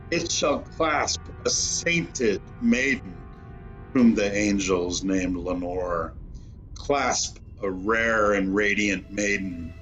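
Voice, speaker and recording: A man's voice: on the low side, fairly bright tone, poor audio. A man playing a grieving, haunted man in despair, pleading theatrically in a dramatic poetry reading.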